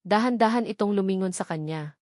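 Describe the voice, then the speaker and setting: A woman's voice: mid-range voice, a little fast, darkish tone, dry recording. Woman narrating a drama, close dry sound. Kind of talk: dramatic scripted story narration.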